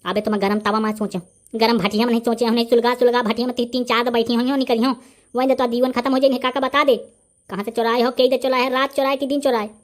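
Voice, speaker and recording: A short-tempered village bully, acted out by a man in a comedy cartoon, with a notably high voice. He speaks with a Bundelkhandi accent, boasting and ranting threats as he throws his weight around. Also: level tone, fast. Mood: accusing. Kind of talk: comic cartoon dialogue.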